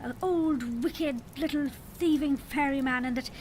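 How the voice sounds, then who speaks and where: High-pitched fiery leprechaun king. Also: unhurried, a little mellow, a little hiss or hum. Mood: indignant. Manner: indignant, old-fashioned Irish storybook phrasing. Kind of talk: audio drama character acting.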